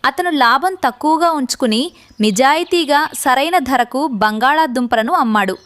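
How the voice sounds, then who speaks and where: A woman's voice: high-pitched, moderate pace, a bit bright, no echo. A woman narrating a scripted children's moral story.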